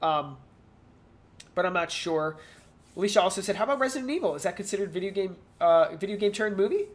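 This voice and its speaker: A podcast co-host talks in a casual, off-the-cuff way. The delivery is hesitant and rambling, circling back over words, at an ordinary middle pitch. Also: fast. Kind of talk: casual pop culture discussion.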